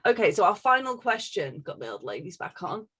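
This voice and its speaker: Woman podcast host, raspy voice, super animated, teasing and chatty. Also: mid-range voice, brisk. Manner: teasing, playful. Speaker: podcast interviewer. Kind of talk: casual banter and reading out questions.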